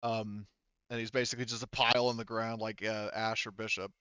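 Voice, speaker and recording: A man with a fairly low, clean voice runs a tabletop game as its game master. He talks casually and haltingly, working things out aloud. The sound is dry and a little dull. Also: flat delivery, sharp tone, clean tone, dry recording.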